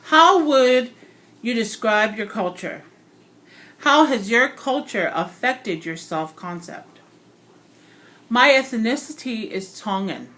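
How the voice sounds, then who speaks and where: A woman from Hawaii giving a prepared personal speech for a class, earnest and reflective, pausing and correcting herself now and then. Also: fairly high pitch, expressive, fairly bright tone.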